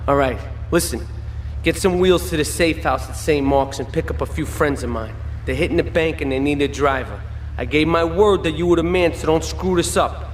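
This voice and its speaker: A man's voice: on the high side, normal speed, natural voice. An actor playing a commanding mafia man in a video game, talking in a casual, streetwise, bossy way. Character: smooth-talking, commanding mafia boss handing out jobs. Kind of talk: video game cutscene acting. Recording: distant, long echo, faint noise.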